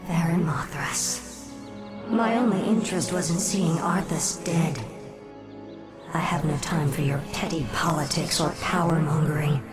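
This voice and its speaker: A haughty undead elf queen in a fantasy game. Her voice is low and deliberate, cold with menace, set over clear dramatic music. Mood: defiant. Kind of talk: fantasy video game cutscene acting.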